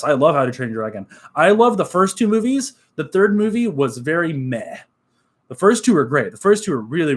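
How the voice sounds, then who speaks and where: A young man with a nasal voice, chatting casually on a live stream, upbeat and relaxed, full of fillers. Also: a little high. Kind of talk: casual live-stream chat reading comments.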